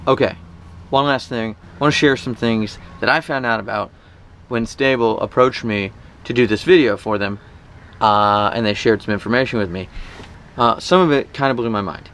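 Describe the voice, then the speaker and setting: A man in his thirties hosting a vlog, chatting informally to camera in a casual, animated explainer style outdoors. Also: medium pitch, moderate pace. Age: 30s. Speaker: boating vlog host. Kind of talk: casual sponsored talk to camera. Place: outdoors in a boat cockpit at a marina. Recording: faint outdoor marina background noise.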